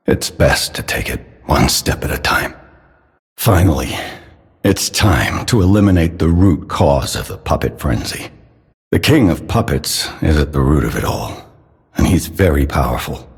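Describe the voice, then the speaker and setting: A solemn old dad from a video game, really low and husky, big cavernous reverb, doting but kinda manipulative. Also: male voice, heavily acted. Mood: somber, concerned. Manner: measured, solemn, paternal. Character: grave, suspicious elderly father, doting yet quietly manipulative. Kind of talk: scripted video game character dialogue.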